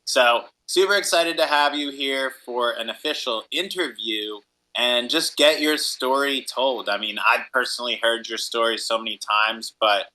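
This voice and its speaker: Man, podcast host, very bright forceful voice, enthusiastic, casual slang, upbeat delivery. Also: mid-range voice, normal speed, rough recording.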